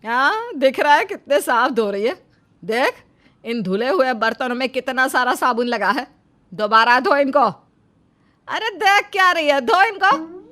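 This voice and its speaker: A stern, fault-finding older mother-in-law played by a woman in a moral story drama. Her fairly high voice nags, taunts and questions like someone used to giving orders. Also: lively intonation, a little slow, pushed and bright, full character voice. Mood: scolding. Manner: taunting, bossy, interrogating.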